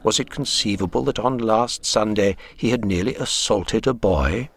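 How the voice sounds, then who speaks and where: An actor with an English accent narrating a literary radio drama as a grave, measured Edwardian storyteller with a husky voice. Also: male voice, lowish voice, lightly acted. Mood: grave, reflective.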